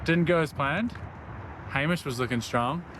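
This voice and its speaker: A man's voice: a little high, measured pace, noisy background. A man, out of breath while talking to camera outdoors on a run, rambling casually with lots of filler words. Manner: rambling, self-deprecating, lots of "like". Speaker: running vlogger. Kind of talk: casual vlog talk while running. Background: loud music.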